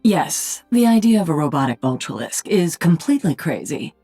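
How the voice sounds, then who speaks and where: A sardonic psionic warrior queen from a video game. Her voice is low and husky, with a dry, commanding manner. Music plays behind her. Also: very animated, normal speed, dark tone, exaggerated voice. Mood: dry, wry. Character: sardonic psionic alien-hybrid warrior queen, adult woman. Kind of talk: video game character voice lines.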